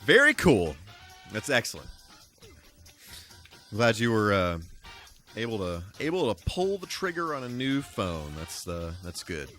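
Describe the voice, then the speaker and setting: A man hosting a casual, rambling game livestream, animated and emphatic, repeating himself for emphasis. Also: lowish voice, measured pace, long pauses. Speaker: live streamer and host. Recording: music playing behind the voice. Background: music.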